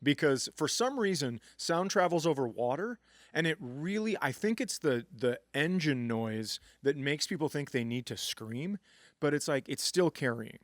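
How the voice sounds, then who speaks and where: A man with a fairly high voice rambles through comic stories and swears freely. The sound is close and dry, with no room echo. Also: expressive, moderate pace. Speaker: comedian podcast co-host. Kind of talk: casual comic banter.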